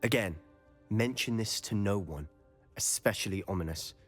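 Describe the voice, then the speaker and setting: An actor playing a confident, mischievous and secretive teenage male wizard student in a video game, speaking in a measured, confiding manner. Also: on the low side, faint music behind, lightly acted. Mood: confiding, earnest. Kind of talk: video game dialogue acting.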